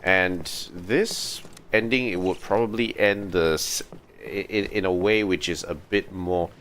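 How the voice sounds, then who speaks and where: A man with a low voice gives casual commentary on a game stream. He muses aloud and often halts as he thinks. There is faint noise on the recording. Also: fairly bright tone. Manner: musing, halting. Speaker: game livestreamer. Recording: faintly noisy livestream.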